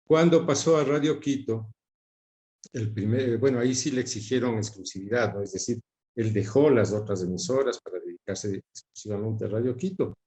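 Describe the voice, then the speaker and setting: A middle-aged man with an Ecuadorian accent, reminiscing in an interview and often pausing to search for words. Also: on the low side, darkish tone. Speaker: interview guest recalling his father's career. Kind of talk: reflective spoken recollection in an interview.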